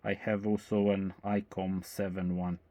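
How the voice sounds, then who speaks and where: Deep-voiced male radio operator, casual chat, measured and monotone delivery. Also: a little hiss or hum.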